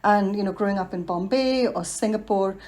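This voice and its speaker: A woman's voice: medium pitch, brisk, a little hiss or hum. A woman in her forties from India, speaking reflectively in a podcast interview and laughing often. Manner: warm, reflective, laughs often, many fillers. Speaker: guest, environmental design professional.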